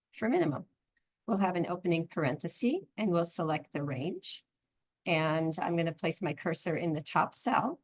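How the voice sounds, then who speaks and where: Hoarse woman teaching a spreadsheet lesson. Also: on the low side. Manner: calm step-by-step explaining. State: hoarse, froggy voice. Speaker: instructor. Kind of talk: screen-recorded software tutorial.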